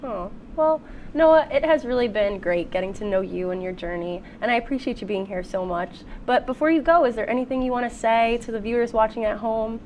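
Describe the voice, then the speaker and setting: A woman's voice: on the high side, brisk, dull audio, slight background noise. A young woman hosting a student TV interview show, speaking as presenter to guests and viewers. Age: young adult. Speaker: talk show host. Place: student television studio.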